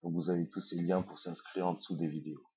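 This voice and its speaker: A man's voice: sits low, level tone, quick pace, breathy edge, dull audio. A man with a head cold, giving a hesitant screencast tutorial as an online course instructor, with many fillers.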